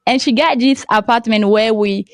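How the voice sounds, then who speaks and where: A young woman from Cameroon, a French speaker with a Cameroonian accent, talking as a podcast guest in a halting way and laughing often. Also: fairly high pitch, no echo, studio quality. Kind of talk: casual interview talk.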